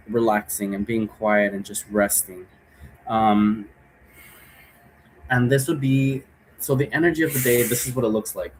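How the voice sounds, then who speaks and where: Man, mid-pitched voice, informal rambling explainer, repetitive, casual host, faint background noise. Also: rough recording. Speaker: host giving an occult divination tutorial.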